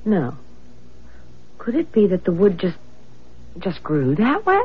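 A woman's voice: medium pitch, huge pitch swings, takes it slowly, slightly rough, exaggerated voice. An actress playing a fretful, affectionate young wife and mother in a radio drama, with an anxious, questioning manner. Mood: curious. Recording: dull lo-fi radio broadcast, faint noise.

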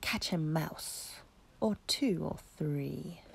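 A woman reading a children's picture book aloud in expressive, deliberate, dramatic phrases. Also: sits low, vocal fry, slight background noise. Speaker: children's story reader. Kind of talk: expressive storybook narration.

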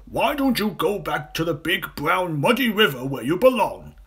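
A man voicing a big, indignant male elephant in a children's storybook, loudly blustering and scolding. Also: a little high, big pitch swings, moderate pace, voice pushed up. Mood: annoyed, scolding. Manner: loud, blustering, exclaiming.

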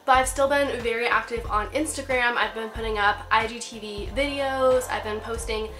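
A woman's voice: on the high side, fairly even intonation, normal speed, fairly bright tone. A young woman in her twenties, getting over a cold, chatting upbeat with lots of "like" as she vlogs from her apartment bedroom. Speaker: lifestyle vlogger. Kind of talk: casual chatty tutorial. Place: bedroom in a small apartment, seated on bed.

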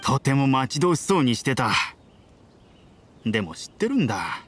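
A man's voice: mid-range voice, very animated, moderate pace, slight background noise, natural voice. Man as a friendly mid-voiced village dad. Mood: friendly, wistful. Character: friendly village man, a doting, wistful father. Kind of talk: video game voice acting.

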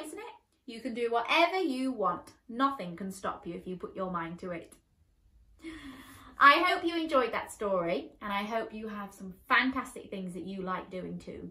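A woman in her thirties reading a picture book aloud to young children at bedtime, animated and expressive, with playful asides to her listeners. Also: medium pitch, moderate pace, roomy sound. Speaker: children's storyteller. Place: small bedroom, indoors, seated on a bed.

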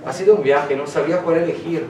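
A man with an Italian accent gives a lecture in a second language, searching for words and gesturing as he goes. His voice sits slightly high. He is recorded by a far camera in an echoey, noisy room. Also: fast, warm tone. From Italy. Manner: gestures while talking, hesitant, searches for words. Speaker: guest speaker. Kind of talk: public lecture. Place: small lecture room in a civic centre. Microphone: far camera, no mic.

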